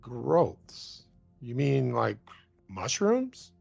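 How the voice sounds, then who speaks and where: An actor playing a kind-hearted, concerned sailor in a radio drama, speaking in an earnest, casual modern way. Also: male voice, mid-range voice, huge pitch swings, drawn-out words, creaky, darkish tone, breathy edge, dull audio, soft background music, heavily acted. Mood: puzzled.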